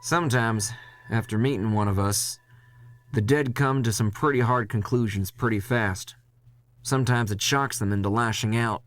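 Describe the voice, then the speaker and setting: A man reading a dramatic first-person horror story in a measured, intense way, with music behind him. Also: fairly low pitch, fairly bright tone.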